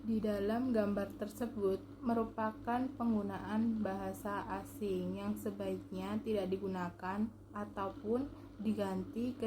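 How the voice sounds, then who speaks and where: A young adult woman speaks at a medium pitch. She delivers a scripted student presentation in a formal, careful way. The recording has clear background noise.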